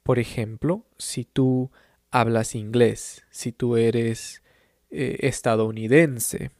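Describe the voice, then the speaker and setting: A man from Peru, a language teacher, speaking calmly and encouragingly in a clear teaching monologue and adding short glosses in English. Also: medium pitch, slow, dry recording, clean studio sound.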